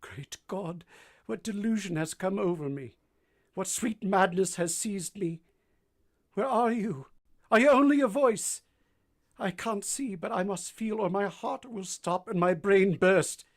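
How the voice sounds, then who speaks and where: A woman playing a blind, passionate gentleman in a radio drama, husky and imploring in a dramatic manner. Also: medium pitch, unhurried. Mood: overwhelmed longing.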